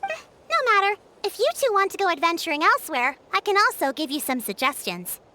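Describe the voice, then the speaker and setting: Young female game leader, very high bright voice, lively intonation, firm, encouraging, formal phrasing. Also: slight background noise, exaggerated voice. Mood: reassuring. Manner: measured, explanatory, formal. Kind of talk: video game character acting.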